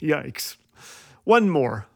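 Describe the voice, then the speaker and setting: High-pitched man telling wry, playful stories. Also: big pitch swings, drawn-out words, vocal fry, a bit bright. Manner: wry, playful, acts out quoted lines. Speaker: host of a humorous inspirational radio show. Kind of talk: scripted comedic storytelling monologue.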